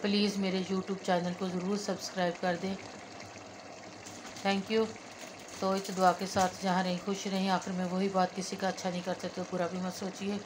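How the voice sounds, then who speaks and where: A woman's voice: medium pitch, little pitch movement, moderate pace, background noise. A woman, chatting viewers through cooking a dish in her home kitchen, recorded on a phone held over the pot. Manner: chatty, talks viewers through each step. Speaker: cooking channel host. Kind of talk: casual cooking vlog narration. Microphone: phone camera held over the pot.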